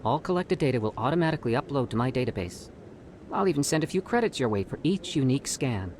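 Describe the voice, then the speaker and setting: A man's voice: medium pitch, very animated, fast talker, darkish tone, a little hiss or hum, natural voice. A man playing a nervous, earnest scientist in a video game, with a hesitant, guarded way of talking. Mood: cautious. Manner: hesitant, stammering, evasive.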